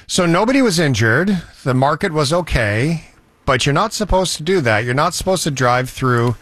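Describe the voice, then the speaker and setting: A man hosting a talk show, telling stories in a chatty, animated way. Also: fairly high pitch, normal speed, no echo. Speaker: show host. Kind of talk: casual talk-show banter.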